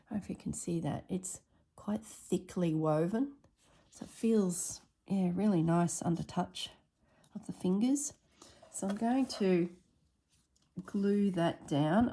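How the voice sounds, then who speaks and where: A woman with a slightly husky voice narrating a casual craft tutorial at her table, thinking aloud as she works. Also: on the low side, mellow. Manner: unhurried, thinks aloud while working. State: recovering from illness, slightly husky throat. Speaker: craft vlogger.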